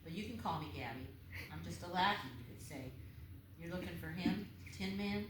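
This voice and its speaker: A woman's voice: on the low side, lively intonation, normal speed, lightly acted. A woman playing a taunting, smug little demon punk girl, mocking and flippant, in a screenplay table read. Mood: mocking, amused. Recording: very echoey room, clear background noise.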